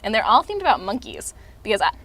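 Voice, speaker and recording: A woman voicing Tuna, a cheerful puppet who sings a slow rhyming song and tells silly jokes to children. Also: medium pitch, sing-song, a bit bright. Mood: playful. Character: cheerful joke-telling puppet named Tuna. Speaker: children's puppet show performer.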